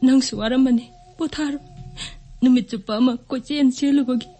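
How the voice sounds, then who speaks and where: High-pitched, fast-talking distressed wife. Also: female voice, fairly even intonation, warm tone, soft background music. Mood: sorrowful, longing. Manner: emotional, tearful delivery in distress. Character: anguished woman pleading for her missing beloved husband. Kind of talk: radio drama acting.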